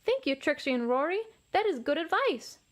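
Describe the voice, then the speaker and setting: Earnest little boy T. rex for a storybook, played by a woman, high voice, small and determined. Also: big pitch swings, normal speed. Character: tiny young male T. rex, earnest and determined.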